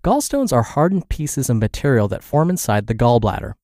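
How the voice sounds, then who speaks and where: A man hosting a podcast, reading in a measured, explanatory narration with a slightly congested, scratchy voice from a cold. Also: mid-range voice, very animated, warm tone, dry recording, studio quality. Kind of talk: scripted podcast narration, reading an article aloud.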